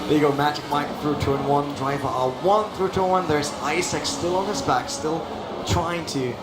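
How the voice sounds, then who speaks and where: A man giving live race commentary with playful banter, his voice going hoarse. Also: fairly high pitch, normal speed. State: losing his voice. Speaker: esports commentator. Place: live event arena. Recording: echoey arena with loud noise.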